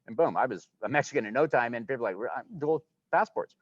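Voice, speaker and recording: A man's voice: medium pitch, expressive, quick pace, no room sound. A man in his sixties, talking casually and enthusiastically as a guest, rambling from one point to the next. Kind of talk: casual conversation. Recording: dull, muffled livestream audio.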